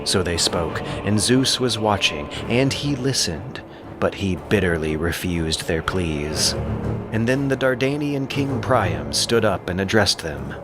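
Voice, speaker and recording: A man narrating an epic audiobook in a dramatic, scripted style with theatrical, deliberate emphasis. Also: low-pitched, animated, music in the background. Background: ambient sound effects.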